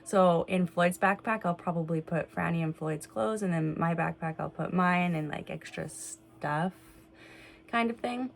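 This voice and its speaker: A woman's voice: fairly low pitch, unhurried, vocal fry. A woman chatting informally as a mom vlogger, thinking aloud in casual home-video style. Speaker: vlog host and mother of young kids. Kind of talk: casual home vlog chat, talking to viewers and kids. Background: music.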